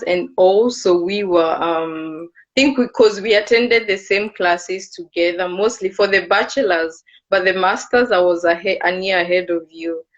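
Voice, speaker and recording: A young woman from Kenya, hosting a casual live video chat, unhurried and hesitant with many fillers. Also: medium pitch. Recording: dull lo-fi livestream audio.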